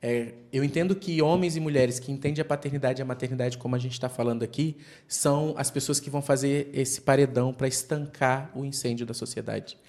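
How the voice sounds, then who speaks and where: A man's voice: medium pitch, steady tone, brisk, few pauses, a little mellow. Earnest man reflecting on fatherhood. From Brazilian Northeast. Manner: earnest, speaks in extended metaphors. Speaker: podcast guest. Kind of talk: reflective interview talk.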